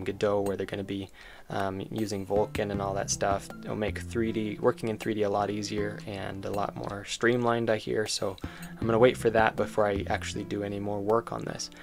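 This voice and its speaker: A man's voice: on the low side, little pitch movement, a little fast, hardly any pauses. A man giving casual, informal demo commentary as a game developer, enthusiastic and thinking aloud as he goes. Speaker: game developer presenting own project. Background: music behind the voice.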